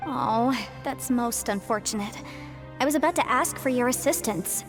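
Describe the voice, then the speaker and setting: A woman's voice: fairly high pitch, normal speed, over music, close to an everyday voice. A woman playing a polite, articulate young female legal advisor in an English video game dub, cheerfully businesslike. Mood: coaxing. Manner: articulate, explanatory.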